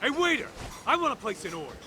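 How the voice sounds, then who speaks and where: A cocky, rowdy young tough from a video game. He talks in blunt slang with a hard, bright edge and big swings in pitch. Faint music sits behind him. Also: big pitch swings, fast talker, exaggerated voice. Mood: boisterous. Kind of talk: video game cutscene acting.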